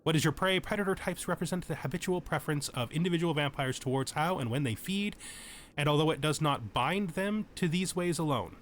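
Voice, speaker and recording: A man's voice: on the high side, lively intonation, brisk, a little hiss or hum. A man giving casual tutorial commentary on a game as its host, explaining things and thinking aloud as he goes, often correcting himself. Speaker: let's play host.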